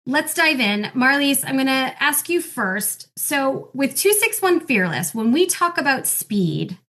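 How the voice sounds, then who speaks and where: A woman's voice: high voice, very animated, measured pace, bright, forceful tone. A woman hosting a podcast in an upbeat, encouraging way as she introduces and questions her guests. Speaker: podcast host.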